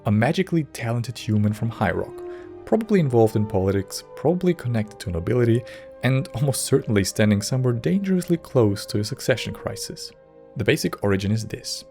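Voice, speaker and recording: A man reading scripted lore narration in a measured, wry, explanatory way, as a video essay narrator. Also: mid-range voice, big pitch swings, a little mellow. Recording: music bed under narration. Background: background music.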